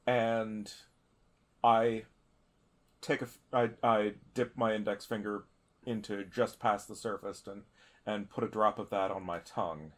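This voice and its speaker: Slow-speaking, low-pitched man narrating a game. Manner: halting, deliberate phrasing. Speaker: tabletop game player. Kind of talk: improvised narration of a tabletop game.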